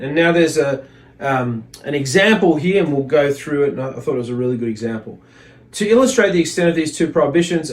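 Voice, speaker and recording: A man giving an informal religious lesson, reading aloud and explaining in a hesitant, reflective manner. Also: a little high. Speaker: religious teacher.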